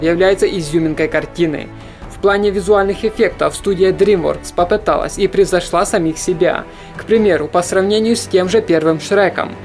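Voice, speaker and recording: Steady voice-over for a film countdown. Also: medium pitch. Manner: steady, informative voice-over delivery. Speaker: film channel narrator. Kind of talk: scripted narration of a film countdown.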